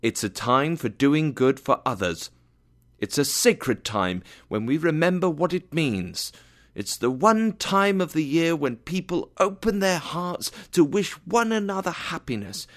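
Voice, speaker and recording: A man voicing a cheerful, warm-hearted young nephew in a storybook tale, earnest and eager. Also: medium pitch, big pitch swings, normal speed, close to an everyday voice.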